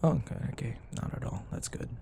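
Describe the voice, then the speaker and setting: A man acting a teasing, flustered young office rival in an intimate ASMR boyfriend roleplay, halting and sometimes dropping into breathy murmurs and sighs. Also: mellow, a little hiss or hum, lightly acted. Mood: teasing, smug.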